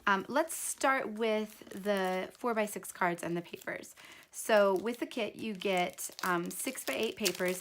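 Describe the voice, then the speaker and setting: A woman's voice: medium pitch, a bit bright, slight background noise. A woman hosting a craft video, casually narrating an unboxing in a voice still recovering from a cold.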